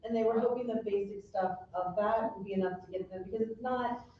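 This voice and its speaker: A woman's voice: medium pitch, steady tone, a little fast. A woman explaining things informally as a participant in a community meeting. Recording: very echoey, muffled, distant, faint noise.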